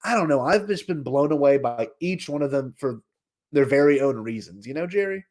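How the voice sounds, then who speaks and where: A man's voice: medium pitch, expressive, brisk, a little mellow. A man co-hosting a podcast in casual chat, talking in an effusive, emphatic way. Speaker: podcast co-host.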